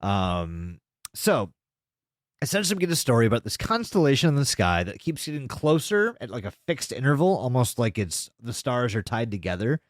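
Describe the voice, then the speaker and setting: A man's voice: medium pitch, very animated, normal speed, no room sound, studio quality. A man co-hosting a podcast, casually retelling a story in a rambling, sweary way. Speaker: podcast co-host.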